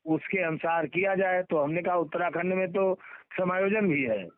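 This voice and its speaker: A man's voice: sits high, normal speed, no room sound. A middle-aged man in an informal phone conversation, repeating words and phrases emphatically, as a union leader. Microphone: telephone. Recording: muffled recorded phone call.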